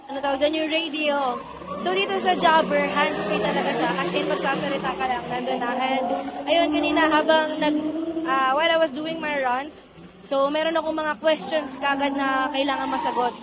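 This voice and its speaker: High-voiced Filipina over noisy ambience. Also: female voice, fairly even intonation, moderate pace, over a noisy crowd. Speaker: drift driver. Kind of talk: casual product testimonial, Taglish.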